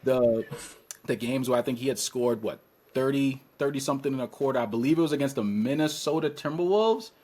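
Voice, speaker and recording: A man in his thirties from Trinidad and Tobago, a podcast guest chatting casually and telling lively stories in which he acts out his own past words. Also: medium pitch, a little fast.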